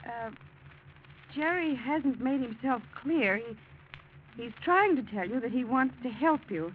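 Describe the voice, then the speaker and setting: A woman's voice: sits high, lively intonation, slow. Earnest, protective young woman, tinny old radio. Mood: pleading, firm. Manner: pleading yet firm. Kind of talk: 1940s radio drama acting. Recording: thin, noisy old radio recording.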